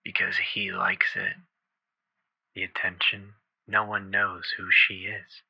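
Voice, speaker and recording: An actor playing a creepy, cryptic killer obsessed with the calendar, reciting in a deliberate, eerie, breathy way for a dramatized comic. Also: male voice, sits low, monotone, dry recording, highs cut off, full character voice. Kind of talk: dramatized comic book villain acting.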